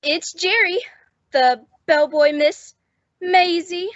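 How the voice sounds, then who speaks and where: Squeaky-high smitten young bellboy, played by a woman. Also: slow, noisy background. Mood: flustered, smitten. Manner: flustered, hesitant, eager delivery. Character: eager, smitten young bellboy, awkward and gullible. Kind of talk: old-time radio comedy acting. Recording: dull lo-fi close dry recording.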